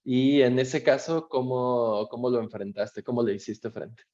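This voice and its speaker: A man's voice: medium pitch, level tone, a little slow, warm tone, dull audio. A man with a Mexican accent moderating a conversation, reading out audience questions in a friendly, hesitant conversational manner. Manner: friendly, encouraging, with frequent hesitations. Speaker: moderator, interviewer.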